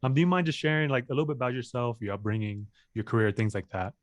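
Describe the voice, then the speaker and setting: A man's voice: mid-range voice, fairly even intonation, very fast, no room sound. Casual man hosting, dry close sound. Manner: casual, filler-heavy questions. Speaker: host.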